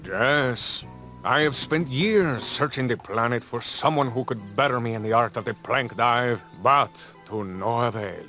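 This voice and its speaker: An actor playing a vain, aging champion diver in a comedy video game, speaking in a florid, grandiose manner. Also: male voice, medium pitch, a little slow, pushed and bright, soft background music. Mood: boastful. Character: boastful, theatrical aging plank-diving champion.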